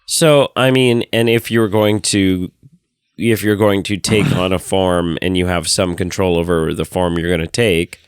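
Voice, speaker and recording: A man with a hoarse, nasal voice that is wearing out, sitting a little low in pitch. He chats and jokes in a sarcastic, teasing way as a podcast co-host. The sound is dry and close, with no room around it. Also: fairly even intonation, measured pace, dry recording. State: hoarse, losing his voice. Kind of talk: casual chat.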